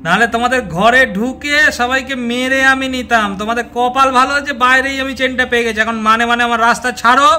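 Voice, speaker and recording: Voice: medium pitch, little pitch movement, fast talker, hardly any pauses, a little hiss or hum, pitched below the performer's own voice. A performer, a woman, voicing a male thief in a doll story, a blustering bully who wails and pleads. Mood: blustering, threatening. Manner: threatening, loud wailing and pleading.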